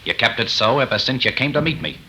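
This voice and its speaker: A gallant, quietly defiant gambler in an old radio melodrama. The delivery is earnest and deliberate, with a very bright tone and highly animated pitch. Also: male voice, a little hiss or hum. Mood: concerned. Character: cool, gallant frontier card player, quietly defiant. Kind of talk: 1930s radio melodrama acting. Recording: old 1930s radio recording.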